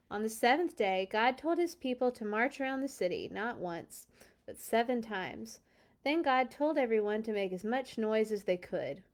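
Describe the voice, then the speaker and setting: A woman hosting a children's read-along, reading a storybook expressively with chatty, playful asides and dramatic emphasis. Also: fairly high pitch.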